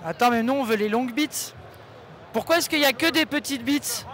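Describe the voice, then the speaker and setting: A man's voice: very high voice, brisk, a bit bright. A man in his twenties co-hosting a vlog with slangy, teasing chat into a handheld mic at a convention. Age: 20s. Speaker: vlog co-host. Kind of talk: casual joking chat. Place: large convention hall. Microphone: handheld microphone. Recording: faint convention noise behind.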